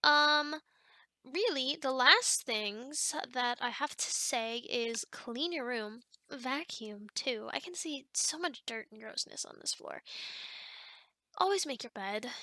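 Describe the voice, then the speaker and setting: A woman's voice: sits high, vocal fry, sharp tone, no echo, full character voice. A woman playing a chatty, fussy sleep paralysis demon in an ASMR roleplay, rambling casually and criticizing playfully straight to the listener. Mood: fussy, critical.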